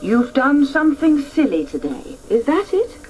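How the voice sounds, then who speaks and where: A woman's voice: high voice, sing-song, moderate pace, a little mellow, slight background noise, poor audio. A woman playing a preoccupied, patient but firm mother in a radio drama, giving brief offhand answers.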